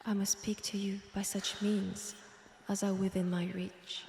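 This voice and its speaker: A woman with a French accent playing an eerie, seductive guide of a magic library in a breathy, half-whispered ASMR roleplay. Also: medium pitch, little pitch movement. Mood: hushed, romantic. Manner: slow, deliberate, hushed. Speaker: roleplay voice actor. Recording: roomy long reverb, faint background noise.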